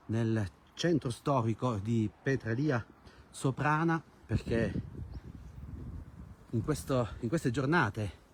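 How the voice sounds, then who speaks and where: A man's voice: mid-range voice, a little mellow. Male travel live-stream host, addresses viewers directly, outdoor sound with light background noise. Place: outdoors in a hilltop village. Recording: noisy outdoor recording with clicks.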